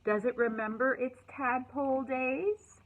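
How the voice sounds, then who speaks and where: A woman hosting a children's storytime, reading aloud and chatting in an animated, encouraging way with simple words for young listeners. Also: sits high, measured pace, phone-quality sound. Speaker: children's librarian and storytime host.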